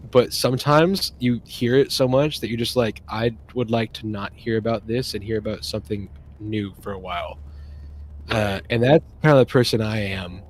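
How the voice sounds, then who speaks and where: A man's voice: medium pitch, a little hiss or hum. Guy gushing and rambling in a chill chat, medium voice, keeps restarting sentences. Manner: rambling, enthusiastic, trails off and restarts often. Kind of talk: casual stream chat.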